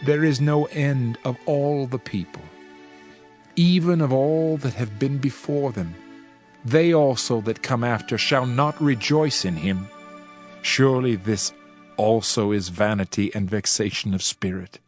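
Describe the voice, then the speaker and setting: A man giving a dramatized scripture reading with a solemn, measured delivery. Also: mid-range voice. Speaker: scripture reader. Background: faint background music.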